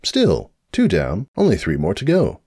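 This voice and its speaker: A man's voice: fairly low pitch, wildly swooping pitch, measured pace, warm tone, husky, no room sound, studio quality. A man narrating a comic story as a dramatic reading.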